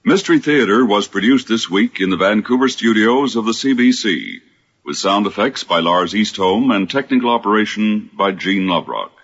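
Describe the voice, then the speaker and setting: A man's voice: lowish voice, expressive, moderate pace, a bit bright. A man working as a radio announcer, formally reading out programme credits. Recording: dull radio recording.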